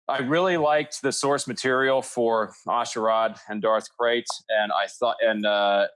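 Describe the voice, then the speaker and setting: A man's voice: medium pitch, fairly bright tone, low-quality recording. A man answering casually as a podcast guest, in a hesitant, self-effacing manner. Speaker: podcast guest, audio drama creator.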